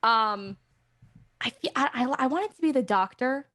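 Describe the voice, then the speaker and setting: A woman actor in a casual chat, rambling and hedging with lots of ums as she thinks out loud. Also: fairly high pitch, lively intonation, normal speed. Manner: chatty, hedging, many ums and laughs. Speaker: actor and cast member.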